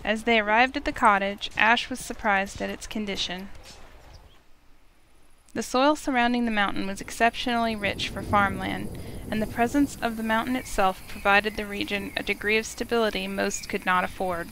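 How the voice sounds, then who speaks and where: Casting: woman narrator, mid pitch, reading visual novel prose as a game streamer, slightly noisy recording. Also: steady tone, moderate pace, fairly bright tone. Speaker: streamer, reader.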